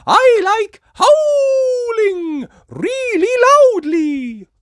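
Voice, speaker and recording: A woman voicing an excited, boastful little animal child in a children's storybook, exclaiming and drawing out words. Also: very high voice, extremely slow, no room sound, studio quality, voice pushed up, full character voice. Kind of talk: children's storybook character voices.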